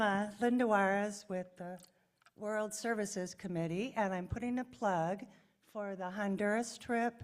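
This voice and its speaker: An older woman with an American accent giving an informal talk to a club meeting as a committee member, in a projected voice. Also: mid-range voice. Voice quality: loud, projected voice.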